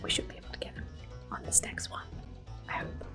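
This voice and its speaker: A woman doing ASMR gameplay commentary in a soft, breathy, gentle voice, mostly whispered. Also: over music. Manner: gentle, unhurried. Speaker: game streamer.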